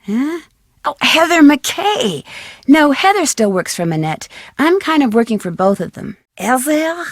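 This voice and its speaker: A young female detective for a video game, her voice high and perky. She is polite, curious and eager, asking questions earnestly. The recording is dry and close. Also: sing-song, measured pace, no echo, full character voice. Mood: friendly, polite. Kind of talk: video game dialogue, short separate lines.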